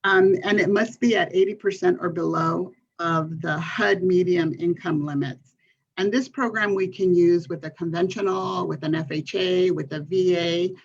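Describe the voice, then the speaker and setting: A woman's voice: on the low side, husky. A woman from California's Central Valley introducing herself as a mortgage consultant on a panel, speaking steadily and earnestly with frequent um fillers. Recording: dull lo-fi sound.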